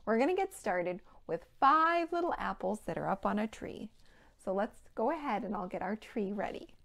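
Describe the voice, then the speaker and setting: A woman in her thirties presenting a storytime for young children, with simple, clearly phrased rhymes and counting. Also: medium pitch, animated, normal speed, fairly bright tone. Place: indoor room with playhouse backdrop.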